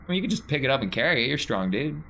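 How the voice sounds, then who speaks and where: A man's voice: medium pitch, big pitch swings, rapid-fire, vocal fry, fairly bright tone, slight background noise, rough recording. A man acting as game master, casually narrating a tabletop game with playful asides. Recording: music behind the voice.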